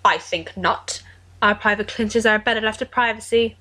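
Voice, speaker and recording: A woman's voice: medium pitch, fairly even intonation, moderate pace, bright, forceful tone. A woman voicing a sardonic seventeen-year-old boy, bantering in a dry, mock-formal way for an amateur audio drama.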